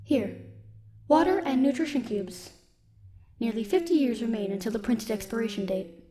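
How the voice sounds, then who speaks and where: A woman playing a stoic, dutiful military robot in an audio roleplay, speaking in a formal, measured, protective way. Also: fairly high pitch, lively intonation, close to an everyday voice. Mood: factual, measured. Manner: formal, measured, literal wording. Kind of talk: scripted audio roleplay, speaking softly to one listener.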